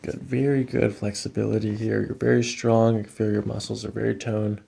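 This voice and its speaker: A man's voice: on the low side, flat delivery, brisk, gravelly, dark tone. A man in his thirties, a chiropractor, talking a patient through an exam in a reassuring, matter-of-fact way in a small office. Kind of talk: clinical exam talk, narrating findings to a patient.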